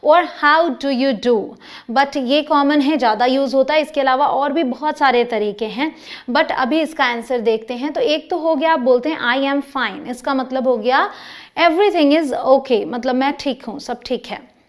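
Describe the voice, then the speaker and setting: Slow high-voiced Indian woman teaching English. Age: 30s. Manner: slow, drawn-out English example phrases. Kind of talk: spoken English lesson.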